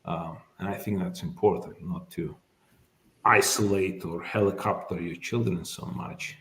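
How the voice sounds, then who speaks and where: A middle-aged man from Azerbaijan, whose first language is Azerbaijani, talks slowly through his memories, with lots of "um" and self-corrections. His voice sits fairly low. The sound carries a noticeable echo from the room. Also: steady tone, a bit bright. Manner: thoughtful, many fillers and restarts. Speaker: immigration lawyer, guest. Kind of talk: casual interview.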